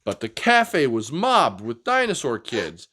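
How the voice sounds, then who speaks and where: Very animated male storytime reader. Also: mid-range voice, a little slow. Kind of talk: animated picture-book reading with casual chat to children.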